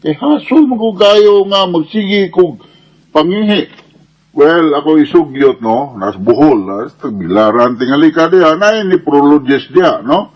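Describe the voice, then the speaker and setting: A man's voice: on the high side, expressive, unhurried, muffled recording. A Filipino man with a Cebuano Bisaya accent answers listeners' questions as a medical advice host, explaining casually and didactically with frequent check-in tags and English medical terms.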